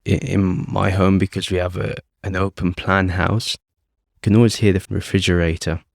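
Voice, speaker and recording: A man calmly leading a guided meditation as host, speaking off the cuff in short phrases with long pauses. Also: low voice, creaky, no room sound, studio quality. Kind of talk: guided meditation, unscripted instruction.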